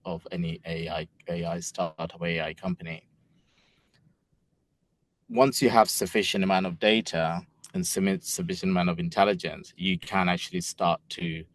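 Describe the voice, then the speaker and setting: A middle-aged man with a deep voice explains his company's work as an interview guest. He is earnest and thorough, and often backs up to restart a sentence mid-thought. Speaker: company co-founder and product head. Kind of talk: interview answers.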